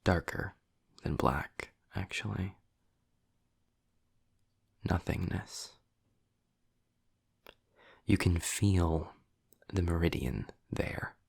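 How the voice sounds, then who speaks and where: A man performs a sardonic demon in an audio drama, speaking low and very slowly. He is wordy and impatient, leaving deliberate pauses, and the voice is heavy with gravelly fry. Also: darkish tone, no echo, exaggerated voice. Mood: hushed, intent. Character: sarcastic, impatient male demon, wry and erudite. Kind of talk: scripted audio roleplay, addressed to the listener.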